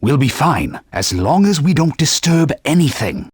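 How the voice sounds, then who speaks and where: A man's voice: fairly high pitch, very animated, a little slow, dry recording, full character voice. A man voicing a talking holy sword, a stern old knightly mentor who speaks formally and barks urgent warnings in a game. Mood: grave. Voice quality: husky, formal, commanding. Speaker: video game character. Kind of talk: game voice clips. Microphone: studio mic. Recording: dry studio recording.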